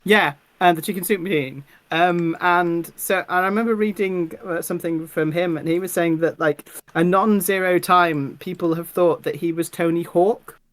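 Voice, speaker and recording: Podcast panelist, chatty and animated. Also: medium pitch, normal speed, few pauses, dry recording. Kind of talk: casual chat.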